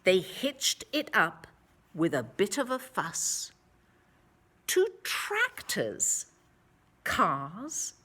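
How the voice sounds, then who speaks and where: A woman reading a picture book aloud to children, expressively, with dramatic emphasis and drawn-out words. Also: mid-range voice, wildly swooping pitch, pushed and bright, slightly rough.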